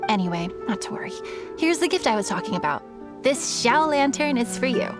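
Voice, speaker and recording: A woman's voice: a little high, sing-song, a little fast, music in the background. An actress playing a friendly, breezy businesswoman in a video game, speaking in a casual, teasing, helpful way. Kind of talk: video game character dialogue.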